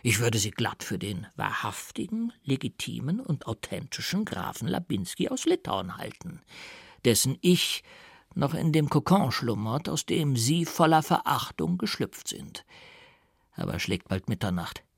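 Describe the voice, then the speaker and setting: A man's voice: medium pitch, sing-song, measured pace, gravelly, husky, no room sound, studio quality, full character voice. A man voicing a sly, amused doctor in an audiobook, with ornate, mock-deferential formal speech. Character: sly male doctor, mockingly courteous and amused.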